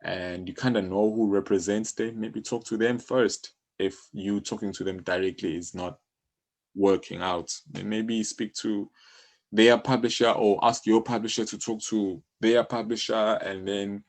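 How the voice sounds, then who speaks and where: A man's voice: fairly low pitch, fairly even intonation, a little slow. A man speaking casually as an interview guest, explaining things in an encouraging way and often saying "you know". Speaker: music publisher, podcast guest.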